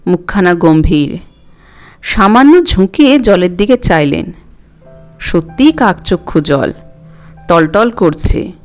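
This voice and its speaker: A woman reading a scripted story aloud as an audiobook narrator. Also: mid-range voice.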